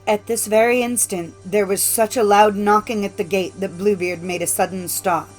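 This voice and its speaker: Female narrator for classic fairy tales: medium pitch, formal scripted storytelling over music. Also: steady tone, moderate pace, a little mellow, poor audio. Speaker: audiobook narrator.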